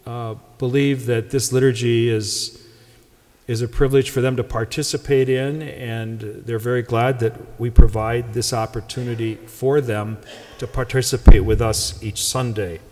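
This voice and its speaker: A man's voice: medium pitch, fairly even intonation, a little slow, mellow. A man in his sixties, a pastor with an Upper Midwest American accent, reading the Gospel and then giving wry, conversational welcome remarks from the lectern. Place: large church sanctuary. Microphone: lectern microphone. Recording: long church reverb.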